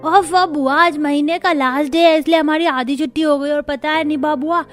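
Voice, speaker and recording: A woman plays an innocent little grandson in a cartoon tale. The voice is very high and childlike, eager, with music behind it. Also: fairly even intonation, normal speed, natural voice. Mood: excited. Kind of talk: Hindi cartoon story acting.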